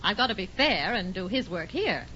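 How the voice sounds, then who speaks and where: A woman playing a brisk, bossy, expressive housewife in a 1940s radio situation comedy. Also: mid-range voice. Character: brisk, no-nonsense housewife taking over the office. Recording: muffled 1940s radio recording, faint noise.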